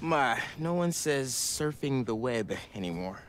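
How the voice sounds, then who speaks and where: A man's voice: medium pitch, expressive, unhurried, a little hiss or hum, close to an everyday voice. A man playing an earnest, youthful teenage superhero in a video game, speaking casually and urgently. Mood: teasing. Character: earnest young male superhero, teenage son.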